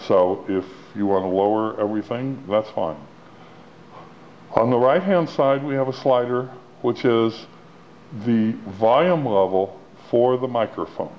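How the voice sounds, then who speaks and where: A man's voice: mid-range voice, a little slow. A man giving a plain-spoken, step-by-step instructional walkthrough of a product as its demonstrator. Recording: dull lo-fi sound with clear background noise.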